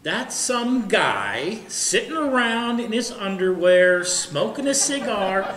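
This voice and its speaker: A man's voice: high-pitched, big pitch swings, slow, crowd noise, exaggerated voice. A man playing a blunt, skeptical, teasing bar buddy in a stage comedy, folksy and plain-spoken. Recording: echoey room with background noise.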